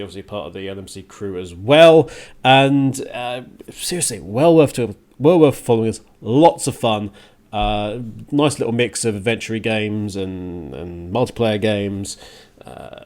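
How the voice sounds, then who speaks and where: A man's voice: medium pitch, a little mellow. A man hosting a music radio show in a casual, rambling, informal way, often repeating himself.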